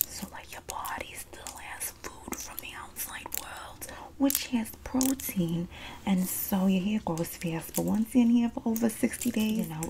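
A young woman acting a sassy, streetwise female inmate who comforts her bunkmate in a breathy whispered ASMR roleplay. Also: medium pitch, warm tone, poor audio. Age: young adult. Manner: sassy, streetwise slang, drawn-out terms of endearment. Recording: close mic with clear background noise.

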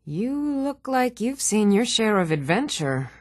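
An actress playing an earnest, dutiful woman of a fantasy realm in a video game, speaking in a measured, composed and formal way. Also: medium pitch, big pitch swings, darkish tone, no room sound, studio quality. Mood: matter-of-fact.

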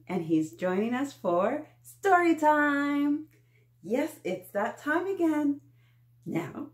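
Slow-talking animated woman, children's story host. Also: a little high. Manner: animated, exaggerated, sing-song talk to small children. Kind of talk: read-aloud for young children. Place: small indoor room with painted mural.

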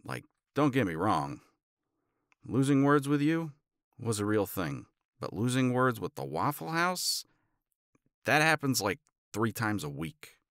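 A man playing a tender, teasing older boyfriend in an intimate romance ASMR roleplay, murmuring in a halting, playful way. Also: medium pitch, very animated, a bit bright, no room sound, clean studio sound. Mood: playful exasperation. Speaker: audio roleplay voice actor.

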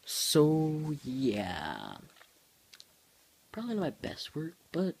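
A male vlogger presents comics he made himself in a casual, halting way. His voice is husky and his pitch moves around a lot. He takes his time and talks himself down informally. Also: wildly swooping pitch, a little mellow. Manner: halting, self-deprecating, informal. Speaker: host reading own homemade comics. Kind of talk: casual story-time vlog. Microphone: handheld phone.

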